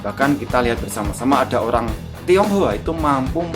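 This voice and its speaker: A man with a thick Javanese accent addresses his viewers directly, firing off points and answering his own rhetorical questions. He speaks at a brisk clip with a medium-pitched voice. A plucked guitar track plays clearly underneath. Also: lively intonation. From Indonesia. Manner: quick, emphatic, rhetorical questions. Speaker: reaction channel host. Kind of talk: casual commentary to viewers. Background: guitar music.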